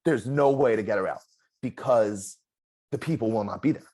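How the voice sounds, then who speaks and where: A former game contestant in a casual exit interview, telling stories in an animated, self-deprecating way with a loud voice. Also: mid-range voice, moderate pace. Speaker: eliminated contestant, juror.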